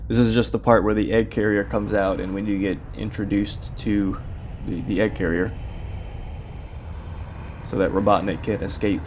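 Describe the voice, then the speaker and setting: A man casually commentating his own speedrun, explaining routes and bosses in a relaxed, rambling way with plenty of fillers. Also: lowish voice, moderate pace, pauses often, slight background noise. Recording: game music playing loudly behind. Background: game music.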